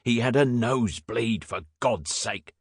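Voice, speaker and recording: A commanding Victorian police inspector, acted by a male audiobook reader, gruff and short-tempered. He speaks bluntly and imperiously, with big swings in pitch. The sound is dry. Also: expressive, normal speed, a bit bright, no echo. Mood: indignant.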